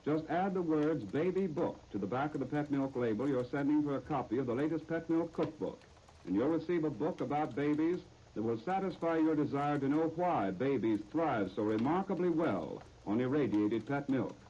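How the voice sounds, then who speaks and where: A man's voice: a little high, animated, moderate pace, mellow. An American man working as a 1930s radio announcer, reading sponsor copy in a formal, persuasive way. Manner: formal, persuasive salesman phrasing. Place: radio studio. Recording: dull, noisy 1930s radio disc recording.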